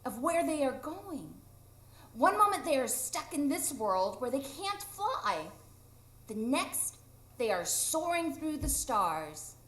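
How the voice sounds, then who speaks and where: A playful, stubborn boy who never grows up, played by a woman, high-pitched and swooping. It is live radio drama acting, heard in a roomy stage recording. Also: sing-song, slow, a bit bright, heavily acted. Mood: earnest. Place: improv theatre stage, live audience.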